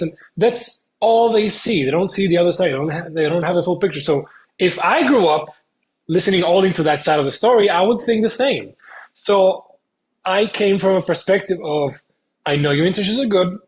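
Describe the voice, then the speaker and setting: An earnest young man from Spain talks as an interview guest. His voice sits a little high for a man. He explains at length in a casual way and often stops to hesitate. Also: poor audio. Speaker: guest, content creator.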